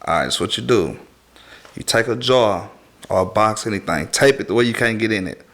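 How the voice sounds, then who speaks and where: A man's voice: on the low side, brisk, fairly bright tone. Colloquial man explaining casually. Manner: colloquial, explanatory, keeps checking that listeners follow. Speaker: talk show panelist. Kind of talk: casual chat.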